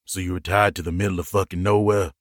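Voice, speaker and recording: A man voicing a crude, cocky outlaw gunfighter with a gruff, blunt growl. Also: low-pitched, flat delivery, fast talker, no room sound, studio quality, voice pushed down, close to an everyday voice. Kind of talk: audiobook character voice.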